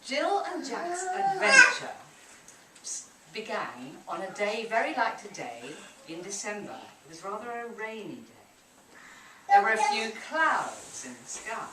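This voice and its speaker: A woman telling a children's story live at a puppet show, dramatically and deliberately, inviting the audience to join in. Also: fairly high pitch, huge pitch swings. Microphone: distant camcorder microphone. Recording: echoey room from far off, loud noise.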